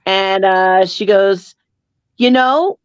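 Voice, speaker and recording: A woman's voice: mid-range voice, very slow, clean tone, dry recording. An American woman chatting casually as a guest on a livestream, heard through dull lo-fi call audio. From United States. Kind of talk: casual chat.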